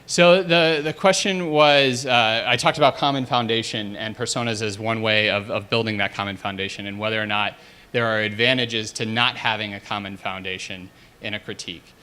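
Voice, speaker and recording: Man, conference presenter, bright, forceful voice, direct, takes questions. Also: lowish voice, moderate pace, clean tone. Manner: direct, energetic, asks the room. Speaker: conference speaker. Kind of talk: conference talk with Q&A.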